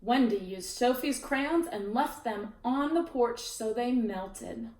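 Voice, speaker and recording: Woman storyteller, picture book read-aloud, bright fairly high voice, echoey room, mic at a distance. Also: takes it slowly. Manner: expressive, with chatty asides to listeners. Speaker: children's storyteller. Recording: roomy echo, distant mic.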